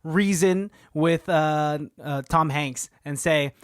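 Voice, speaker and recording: A man co-hosting a movie podcast, talking fast and full of fillers as he makes his points. His voice is a touch high. The sound is dry and close-miked. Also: clear, resonant tone, no room sound. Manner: animated, filler-heavy, 'like'-laden. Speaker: podcast co-host. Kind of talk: casual movie review chat.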